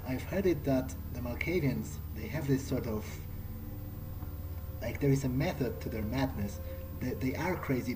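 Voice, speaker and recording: A man's voice: medium pitch, background noise. A man giving casual, in-character game commentary, thinking aloud in a musing, sardonic way. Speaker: let's player playing in character. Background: game music.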